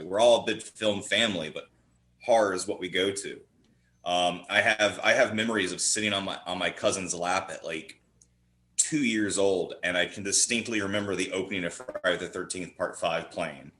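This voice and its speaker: A man's voice: deep voice, fairly even intonation, brisk, bright, forceful tone. A man chatting casually as a podcast guest, talking in an informal, emphatic way like an eager fan. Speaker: podcast guest, horror writer and performer. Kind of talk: casual chat.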